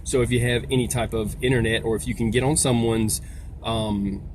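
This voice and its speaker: A man's voice: mid-range voice, fairly even intonation, normal speed. A man giving a casual, folksy explainer as a vlog host, talking in a rambling way. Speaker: video host, trucker. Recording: noisy, vehicle-like background sound.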